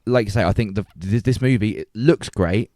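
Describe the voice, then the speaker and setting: A man's voice: fairly low pitch, moderate pace, darkish tone, dry recording, studio quality. A man hosting a casual film discussion, thoughtful and hesitant, repeating words as he builds his points. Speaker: podcast host.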